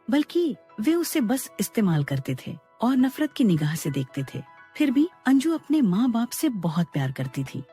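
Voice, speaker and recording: A woman's voice: on the high side, mellow, slightly rough. A woman narrating a scripted moral story as a storyteller.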